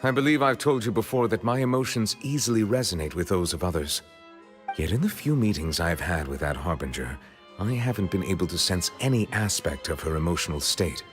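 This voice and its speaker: A composed male chief justice in a game a low, deliberate voice. His formal phrasing moves through a lively pitch range. Faint music and a long reverb sit behind him. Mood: reflective. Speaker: game character. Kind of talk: studio game voice acting.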